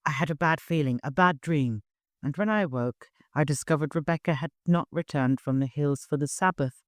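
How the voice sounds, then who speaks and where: A man's voice: on the high side, normal speed, no echo, voice pushed up, lightly acted. Meek servant woman, read by a male narrator: respectful, self-blaming, careful delivery, audiobook drama, very dry sound. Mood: deferential pleading.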